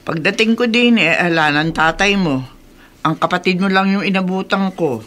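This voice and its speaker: A woman's voice: lowish voice, moderate pace, fairly bright tone, close to an everyday voice. A Filipino actress playing a disapproving, protective elderly grandmother in a radio drama, speaking emphatically. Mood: puzzled.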